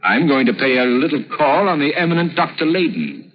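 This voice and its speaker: An actor playing a calm, confident gentleman crime-fighter with measured, dramatic authority in a 1930s radio drama. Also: fairly high pitch. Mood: grave, assured. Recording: dull, reverberant 1930s radio recording.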